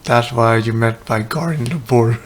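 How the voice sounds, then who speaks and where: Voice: mid-range voice, normal speed. Casual game streamer with offhand reactions. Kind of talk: casual gameplay commentary.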